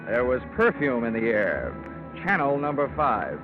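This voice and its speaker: An actor playing a wry, wisecracking freelance insurance investigator in old radio detective drama style, dry and clipped. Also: male voice, mid-range voice, sing-song, measured pace. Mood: wry narrating. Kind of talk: 1940s-50s radio detective drama acting and narration. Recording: tinny vintage radio recording, music behind.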